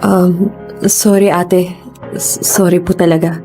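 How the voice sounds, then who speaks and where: Casting: actress as vulnerable student kid sister, young female, medium pitch, radio drama over music. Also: brisk, darkish tone, lightly acted. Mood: subdued, apologetic. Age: young adult.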